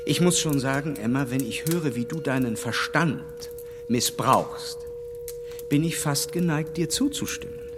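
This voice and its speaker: An actor playing a stern gentleman who lectures a young woman in a radio play, speaking firmly and reproachfully. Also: mid-range voice, unhurried, big-room sound, over music, natural voice. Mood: sardonic. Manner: firm, reproachful, emphatic.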